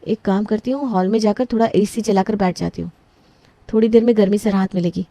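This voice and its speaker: A woman voicing a scheming young bride in an animated story, muttering to herself in a panic and flaring into outrage. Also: mid-range voice, no echo, natural voice. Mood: grumbling. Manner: frantic self-talk, quick to outrage. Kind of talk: animated cartoon voice acting.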